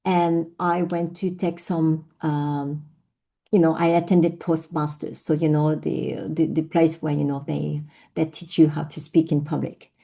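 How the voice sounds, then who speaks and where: Low, mellow-voiced woman with French accent. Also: level tone, measured pace, creaky. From France. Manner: reflective, many fillers and restarts. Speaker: invited speaker. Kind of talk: personal storytelling talk. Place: online session.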